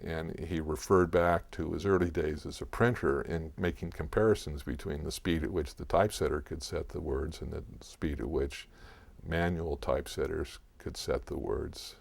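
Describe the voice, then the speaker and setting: A man with a low, gravelly voice answers as an expert in an informal interview. He explains things in a deliberate, scholarly way, often halting and feeling for the next phrase. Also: fairly even intonation, a little mellow, dry recording. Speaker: author and expert guest.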